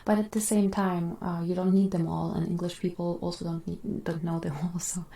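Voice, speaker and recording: A woman whose first language is Russian, chatting casually with viewers on an ASMR stream, drifting between whispers and soft talk. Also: fairly low pitch, flat delivery, brisk, creaky, echoey room.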